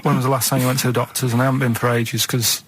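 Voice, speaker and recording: A man's voice: medium pitch, flat delivery, rapid-fire, a bit bright, slightly rough. A man in his thirties with a Mancunian accent chatting casually on radio, blunt, plain-spoken and reluctant. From Manchester, England. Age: 30s. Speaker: radio show sidekick. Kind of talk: casual chat.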